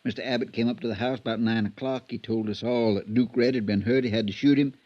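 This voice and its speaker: A man's voice: medium pitch, steady tone, fast, lightly acted. A man playing a loyal, worried stable hand in a 1950s radio drama, speaking in a folksy, hesitant, respectful way. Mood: respectful, uneasy. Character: deferential, plain-spoken male stable hand, loyal and worried. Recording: dull, muffled vintage radio recording.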